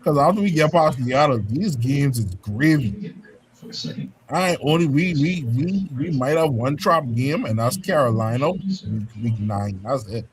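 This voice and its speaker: A man's voice: medium pitch, animated, measured pace, low-quality recording. A man joining a sports podcast as a fan guest, confident and brushing off the host's doubts. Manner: confident, dismissive. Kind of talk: casual sports debate. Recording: crowd noise behind.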